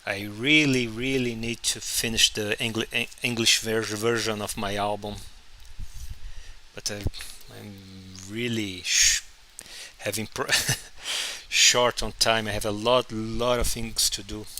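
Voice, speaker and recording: Slow, bright-voiced Portuguese-speaking man. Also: fairly low pitch, flat delivery. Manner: halting, many restarts and fillers. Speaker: comic artist streaming his drawing. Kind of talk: casual live-stream chat while drawing.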